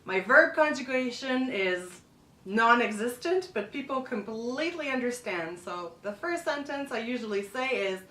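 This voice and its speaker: A woman's voice: fairly high pitch, expressive, normal speed, bright, forceful tone, recorded from a distance. A middle-aged woman from Montreal, talking casually to camera as a food and travel blogger, loose and chatty with restarts. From Montreal, Quebec, Canada. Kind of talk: casual vlog monologue. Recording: echoey room, mic set back.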